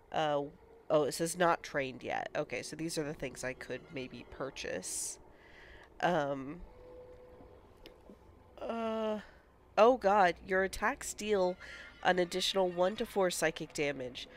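A woman's voice: on the low side, a little hiss or hum. A woman with a stuffy cold voice, casually commentating a game and reading menu options aloud. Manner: reads game menus aloud and muses over options. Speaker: gaming channel host. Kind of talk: casual let's-play commentary.

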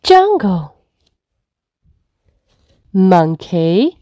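A woman's voice: mid-range voice, very animated, drawn-out words, dark tone, no echo, clean studio sound. A woman reading aloud to toddlers as a children's storyteller, in simple, encouraging child-directed speech. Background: faint music.

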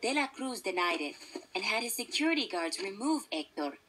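A woman's voice: mid-range voice, big pitch swings, moderate pace, sharp tone, husky. A woman narrating a children's story from a script, as a read-along storybook narrator. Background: instrumental music.

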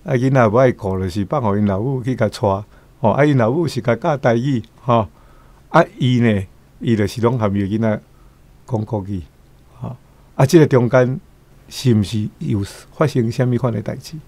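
A man's voice: mid-range voice, normal speed, darkish tone, no room sound. A man with a Taiwanese accent giving casual commentary, chatty and full of rhetorical questions. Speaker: guest commentator.

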